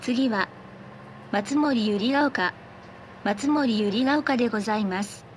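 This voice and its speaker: A woman reading scripted bus announcements in a polite, formal, measured way. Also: a little high, heavy background noise.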